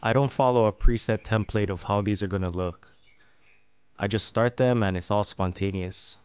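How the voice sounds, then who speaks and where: A man narrating a fish-cutting and plating tutorial as host, explaining each step calmly. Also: fairly low pitch, moderate pace, clear, resonant tone, dry recording. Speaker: fishing and cooking channel host. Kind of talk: calm instructional voice-over.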